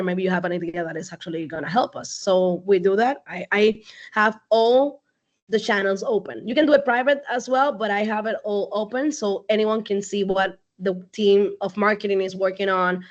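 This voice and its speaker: A woman, a company CEO, speaking casually as a guest on a video call, laughing often and filling pauses with "um". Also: mid-range voice, steady tone, muffled recording. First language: Spanish. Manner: chatty, laughs often, many fillers. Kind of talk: casual online talk.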